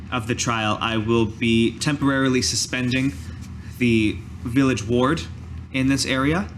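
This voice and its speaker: A man voicing a grave, formal master mage in a tabletop fantasy game, speaking deliberately. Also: medium pitch, fairly bright tone, a little hiss or hum, close to an everyday voice. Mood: solemn, ceremonial. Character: male village master mage, formal, measured, grave mentor.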